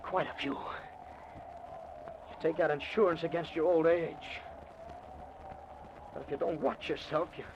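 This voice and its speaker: A man's voice: fairly high pitch, steady tone, takes it slowly, creaky, pauses often. A man playing a wary, dry intended victim in an old-time radio thriller, speaking in clipped, wry phrases. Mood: wry, shaken. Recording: dull, noisy vintage radio recording.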